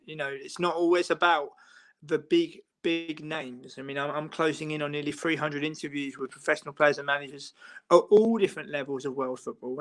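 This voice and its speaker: Rambling man, bright voice. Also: fairly high pitch. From England. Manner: long rambling answers with many fillers and restarts. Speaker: football interviewer and writer. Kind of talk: casual chat on a live stream.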